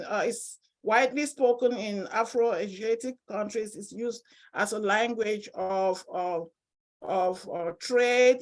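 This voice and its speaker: Woman, Cameroonian accent, mid-range pitch, professor, informal academic seminar presentation. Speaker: associate professor, seminar speaker.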